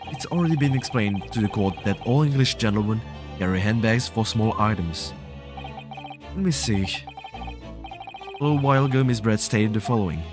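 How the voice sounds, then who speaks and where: A man streaming a game, reading the dialogue aloud at a deliberate pace, then chatting playfully to his viewers. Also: lowish voice, expressive. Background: game music.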